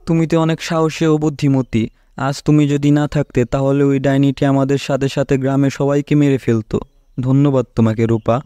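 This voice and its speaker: A man plays a cartoon village uncle. His voice is mellow and dark, recorded dry, and his delivery is conversational and questioning. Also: mid-range voice, fairly even intonation, normal speed, clean tone, no room sound, close to an everyday voice. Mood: grateful.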